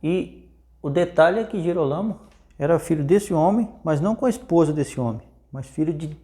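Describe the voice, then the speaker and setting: A man's voice: a little high, expressive, normal speed, mellow. A man with a Brazilian accent presenting history in informal, unscripted storytelling, conversational and often checking in with tag questions.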